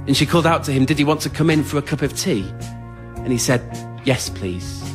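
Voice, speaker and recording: A man's voice: a little high, lively intonation, fairly quick, music in the background. A man tells an autobiographical story to a theatre audience in an understated, wry, plain-spoken way, as the writer and performer of a solo show. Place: theatre stage in front of an audience.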